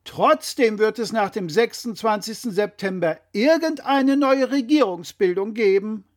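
A man's voice: sits high, a little slow. A man presenting a scripted political explainer in a didactic, critical way.

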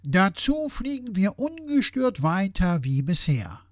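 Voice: medium pitch, very animated, slow pace, dry recording, clean studio sound. A performer playing a sober, skeptical conversation partner who cites facts in a scripted satirical dialogue. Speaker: audio play dialogue speaker.

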